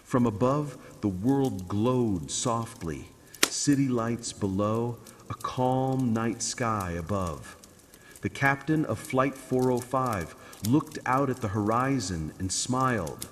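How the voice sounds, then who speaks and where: A man narrating a scripted bedtime story in a calm, soothing, dramatic way. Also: mid-range voice, slow pace, in a large hall, a little hiss or hum.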